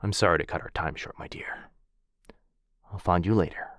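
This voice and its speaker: A man's voice: low-pitched, normal speed, a bit bright, dry recording, clean studio sound. A man playing a courtly, protective vampire lord, tender but fierce, in an intimate boyfriend ASMR roleplay. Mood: hushed concern.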